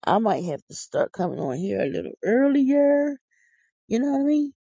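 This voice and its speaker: A woman's voice: fairly high pitch, very animated, moderate pace, creaky, mellow, dry recording. A woman, hosting a podcast in a casual rambling monologue, tired, silly and folksy with exclamations. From Philadelphia. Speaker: podcast host. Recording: dull lo-fi dry recording.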